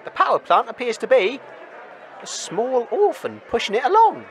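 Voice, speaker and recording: A man's voice: extremely high pitch, very animated, moderate pace. A man playing a flustered, hesitant wasteland radio reporter giving live commentary in a comedy radio drama. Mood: hesitant, underwhelmed. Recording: noisy crowd ambience behind.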